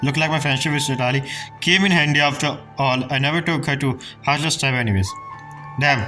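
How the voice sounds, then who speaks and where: A man's voice: medium pitch, brisk, low-quality recording. A man giving casual reaction commentary on a game, often repeating short exclamations. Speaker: gameplay streamer. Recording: game music under the voice. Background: game music.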